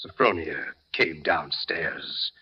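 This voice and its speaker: An actor playing a folksy, blunt, sinister middle-aged hotel owner in a 1940s radio drama. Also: male voice, a bass voice, animated, takes it slowly, husky, heavily acted. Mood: menacing. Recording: dull 1940s radio recording, music.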